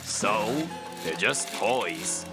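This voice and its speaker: A man playing an excitable, incredulous ally in a fantasy adventure audio drama. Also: lowish voice, drawn-out words, over loud music, full character voice. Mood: dismissive.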